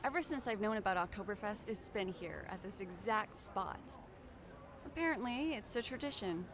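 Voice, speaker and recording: A woman's voice: medium pitch, expressive, moderate pace, noisy background, lightly acted. An actress playing a wry, playful, chatty young woman in a video game. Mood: informative, wry. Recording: music behind the voice.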